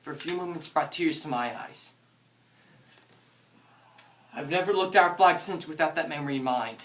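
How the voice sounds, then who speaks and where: A man's voice: on the high side, normal speed, a bit bright, close to an everyday voice. A man playing a dutiful, battle-tested American paratrooper officer, declaiming his memories of combat in a theatrical war drama. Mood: solemn, proud. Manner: declamatory, bellows battle orders. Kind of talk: theatrical monologue and dialogue. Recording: echoey room from far off, noisy.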